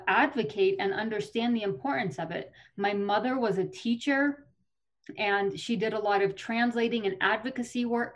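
A woman in her thirties from Colorado, a lawmaker answering podcast interview questions in an earnest, articulate way with some fillers and restarts. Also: medium pitch. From Colorado, USA. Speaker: state legislator, guest.

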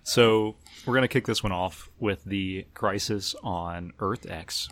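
A man's voice: sits low, takes it slowly, a bit bright. A man hosting a podcast, chatting casually and conversationally as he recaps TV episodes. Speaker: podcast host. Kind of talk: casual chat about TV episodes.

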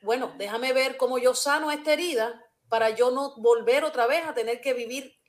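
A woman's voice: sits high, normal speed. A woman hosting a talk show, speaking earnestly and personally, often restarting her sentences. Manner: earnest, hesitant, with frequent restarts.

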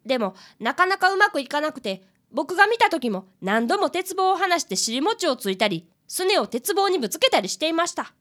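A woman's voice: very high-pitched, very animated, brisk, few pauses, a bit bright, full character voice. A woman in a radio drama voicing an earnest fifth-grade boy detective who reports politely and formally. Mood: earnest, serious.

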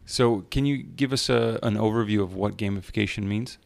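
A man hosting an interview podcast, introducing a guest and asking relaxed, conversational questions. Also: on the low side, fairly quick, creaky, dry recording. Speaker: podcast host.

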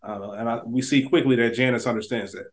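A man's voice: mid-range voice, fairly even intonation, fast. A man co-hosting a TV review podcast, making thoughtful points in casual chat. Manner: thoughtful, acts out quoted lines in a raised voice. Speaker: podcast co-host.

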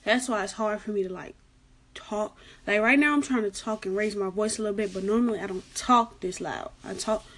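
A young woman gives casual advice in a chatty vlog. She is soft-spoken, has a light, girlish voice and keeps repeating her warnings. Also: medium pitch, a little fast. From Arkansas, United States. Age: late teens. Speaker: vlogger giving school advice.

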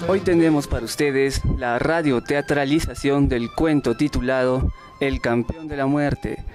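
A man's voice: medium pitch, darkish tone. A man with a Peruvian accent presenting a radio show from a script as its host. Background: music bed.

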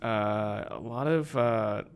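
A man's voice: on the low side, slow pace, smooth. A young man co-hosting a podcast, laid-back and chatty, with short agreeing replies. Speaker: podcast co-host. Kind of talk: casual chat.

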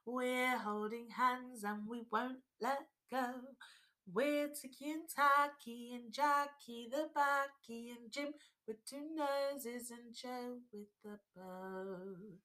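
A troupe of tiny paper dolls, sung by a woman, cheeky and defiant. The voice is high and very bright, carrying a lilting singsong taunt at an unhurried, drawn-out pace, as in a children's storybook. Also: steady tone, faint music behind.

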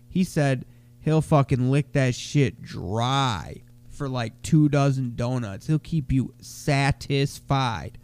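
A young man co-hosting a casual podcast, stuffy from a cold, talking in loud, crude, slangy banter. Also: medium pitch, clear, resonant tone. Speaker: podcast co-host.

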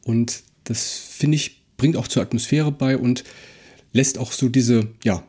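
A man co-hosting a podcast in casual discussion, speaking in a halting, reflective way and repeating words. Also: medium pitch, fairly even intonation, warm tone, breathy edge. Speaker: podcast co-host.

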